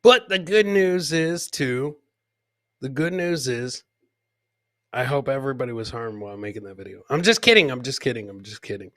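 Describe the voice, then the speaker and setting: A man's voice: mid-range voice, expressive, normal speed, fairly bright tone, no room sound, clean studio sound. A man hosting a live comedy clip show, joking and teasing as he comments on videos and repeats his punchlines.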